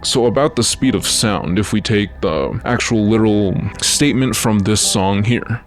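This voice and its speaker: A man's voice: fairly low pitch, normal speed. A man narrates a scripted explainer video in an informal, conversational way, with casual asides. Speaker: video creator narrating an analysis.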